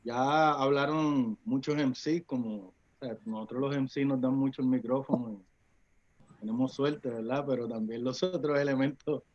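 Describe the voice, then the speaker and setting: A man moderates a panel in a loose, folksy manner, filling gaps with casual asides. His voice sits at a middle pitch. The recording has a dull, lo-fi tone. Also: fairly even intonation, normal speed. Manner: loose, folksy, many fillers. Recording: dull lo-fi audio.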